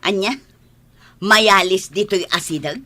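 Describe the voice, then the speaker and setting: A woman's voice: medium pitch, unhurried, pushed and bright. A woman with an Ilocano Filipino accent playing a resentful, gossipy neighbour in a radio drama, complaining sharply. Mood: prying.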